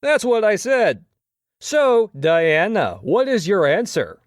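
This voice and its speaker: A man's voice: high-pitched, big pitch swings, slow, dry recording, studio quality, full character voice. An actor playing a slick, smug TV game show host, showy, glib and teasing as he presents. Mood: brisk, smug.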